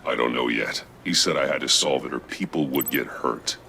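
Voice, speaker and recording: Gravelly, ultra-deep grim masked vigilante. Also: male voice, normal speed, a little hiss or hum, full character voice. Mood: guarded. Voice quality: gravelly growl. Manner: terse, deadpan. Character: grim, stoic masked vigilante man, terse and guarded. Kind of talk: video game dramatic acting.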